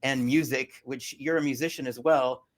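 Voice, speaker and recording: A woman's voice: very deep voice, fairly even intonation, normal speed. Chatty rambling woman in her forties. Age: 40s. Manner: rambling, chatty, many fillers and restarts. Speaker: host. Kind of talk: casual interview chat.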